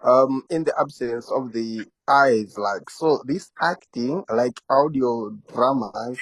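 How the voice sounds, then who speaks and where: A man with a Malawian accent and a medium-pitched voice joins a live talk to ask questions. He is polite and casual, and builds his sentences in short, halting pieces. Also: no room sound, low-quality recording. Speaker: audience member asking questions.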